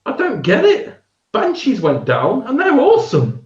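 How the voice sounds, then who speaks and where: A man, chatting casually as a show co-host, animated and quick to laugh. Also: very high-pitched. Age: 30s. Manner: animated, laughing, trails off and restarts. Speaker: podcast co-host. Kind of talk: casual chat about tabletop miniatures. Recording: echoey room, dull lo-fi sound.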